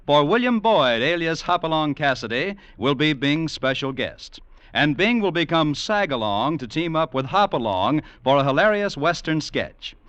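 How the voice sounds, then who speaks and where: A man's voice: a little high, fairly bright tone, smooth, dry recording, muffled recording. An American man reading a scripted promo as a network announcer in mid-century radio style.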